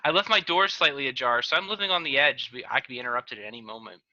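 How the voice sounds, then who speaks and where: A joking man hosts a comedy podcast from a home video call, often breaking off and restarting his sentences. His voice sounds very bright, almost sharp. The call audio is dull and lo-fi. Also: medium pitch, fast, no echo. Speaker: podcast host. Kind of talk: casual video-call chat.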